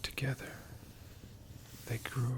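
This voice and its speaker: An ASMR storyteller whispering a tale in a hushed, lingering manner, pausing and repeating words. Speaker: ASMR storyteller, channel host.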